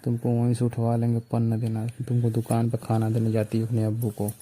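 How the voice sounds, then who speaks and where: Man acting as menacing suitor, very dark muted voice, flat intonation, eastern Hindi accent, domineering, phone-call drama. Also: mid-range voice, fairly quick, close to an everyday voice. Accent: eastern Hindi belt. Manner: insistent, colloquial, domineering. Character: pushy, menacing male suitor making threats.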